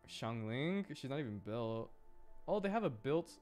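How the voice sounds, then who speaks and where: A man's voice: medium pitch, very animated, normal speed, darkish tone, faint music behind. A man chatting casually on a game livestream, rambling and often repeating his words as he reacts to viewers. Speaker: game streamer.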